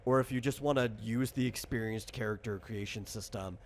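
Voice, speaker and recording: A man's voice: medium pitch, a little fast. A man hosting and explaining a game in casual chat, thinking aloud in a rambling way. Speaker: game designer and host.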